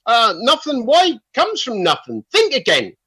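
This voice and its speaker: A man's voice: very high voice, huge pitch swings, measured pace, sharp tone, slightly rough, exaggerated voice. An exuberant, beer-loving village singer played by a man with a voice that swoops widely. He is hearty and encouraging, organising his friends in a radio comedy. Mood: bossy, playful. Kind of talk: radio comedy drama acting.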